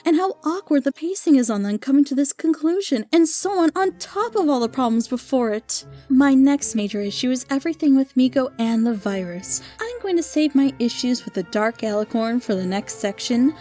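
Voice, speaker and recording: High-pitched, mellow woman giving a wry review. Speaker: reviewer of fan audio dramas. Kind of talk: scripted review commentary.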